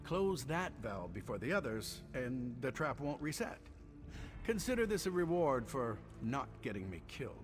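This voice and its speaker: A sardonic, talkative engineer, played by a male actor, with a mid-pitched voice that rises and falls a lot. He explains things like a wry game character, over faint music. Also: big pitch swings, normal speed, natural voice. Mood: sardonic, explaining. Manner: sardonic, chatty. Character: sardonic, chatty, humble prison engineer, man. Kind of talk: video game character acting.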